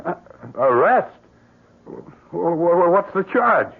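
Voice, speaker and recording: Husky, brusque police inspector. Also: male voice, high voice, sing-song, takes it slowly, full character voice. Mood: shocked. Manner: gruff, insistent, clipped. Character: brusque, stubborn male police inspector, eager and urgent. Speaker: actor in a radio mystery drama. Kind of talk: old-time radio drama acting. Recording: muffled, narrow-band old radio broadcast.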